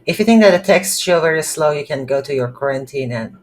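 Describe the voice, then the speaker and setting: A tutorial instructor thinking aloud in a halting, unscripted way while walking through a screen-recorded coding lesson. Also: medium pitch, animated. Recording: light background noise.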